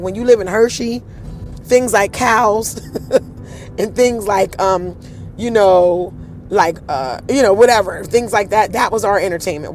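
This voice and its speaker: A woman with a deep, husky voice hosts a casual storytelling tour outdoors, animated and acting out the voices of people she quotes. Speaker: host.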